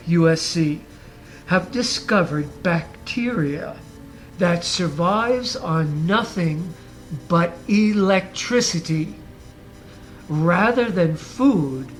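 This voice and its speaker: A man hosting a podcast, reading a scripted motivational monologue in a deliberate, emphatic way, his voice raspy as he recovers from the flu. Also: high-pitched, poor audio. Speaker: podcast host.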